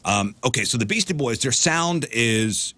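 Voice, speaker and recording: A man's voice: medium pitch, lively intonation, moderate pace, bright, forceful tone, dry recording. A man hosting a radio show, asking long, wordy questions with frequent restarts. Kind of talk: studio interview questions.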